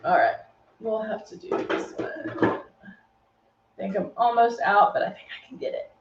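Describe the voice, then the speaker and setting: A woman's voice: mid-range voice, a little slow, slight background noise. A woman with a Texan accent, presenting a live makeup tutorial and chatting with viewers' comments as she works. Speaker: beauty brand presenter. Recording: echoey room, dull lo-fi stream audio.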